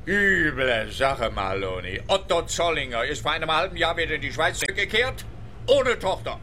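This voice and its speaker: A man's voice: a little high, very animated, normal speed, sharp tone, slight background noise. An actor playing a sardonic, blunt, grumbling male police detective in a German-language radio play, sarcastic and skeptical. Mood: dry, grumbling.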